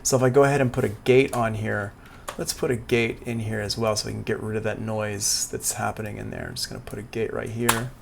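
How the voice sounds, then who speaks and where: A man giving a casual, improvised live software tutorial as a music production instructor, thinking aloud hesitantly as he works. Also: on the low side, little pitch movement, fairly quick, vocal fry, background noise. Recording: music playing behind the voice.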